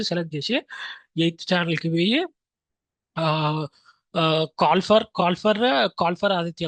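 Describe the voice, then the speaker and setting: A man with a high voice and a Telangana accent explains things like an informal teacher. He goes back over key points and drops English phrases into his sentences. The sound is dry, with very little room in it. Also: a bit bright, no echo. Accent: Telangana Telugu. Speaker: filmmaking instructor.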